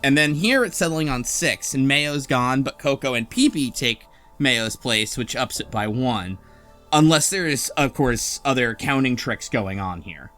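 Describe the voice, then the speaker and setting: A man hosting a casual podcast discussion of a game story, thinking aloud in an animated, conversational way. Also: mid-range voice, unhurried, soft background music. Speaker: podcast host.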